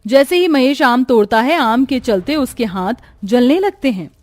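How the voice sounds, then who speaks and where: A female narrator tells a scripted tale. Her voice sits fairly high, and the recording is dry with almost no room sound. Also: expressive, moderate pace, a little mellow, no room sound.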